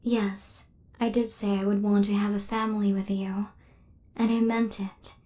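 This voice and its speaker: Soft, even-toned affectionate female robot played by a woman. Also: mid-range voice, close to an everyday voice. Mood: curious, awed. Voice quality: soft, often whispery. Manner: measured, formal, literal phrasing without contractions. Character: affectionate female killer robot, literal-minded, devoted to her human. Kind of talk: ASMR roleplay, intimate scripted monologue.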